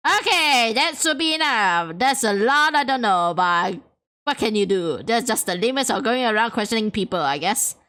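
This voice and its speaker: A woman's voice: fairly high pitch, lively intonation, normal speed, bright, forceful tone. A woman hosting a let's play, thinking aloud as she works through clues in a mystery game. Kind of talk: casual game commentary.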